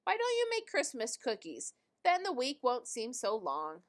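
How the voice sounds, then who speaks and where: A woman voicing a kindly, patient storybook grandmother who is firm and gently coaxing. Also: sits high, huge pitch swings, moderate pace.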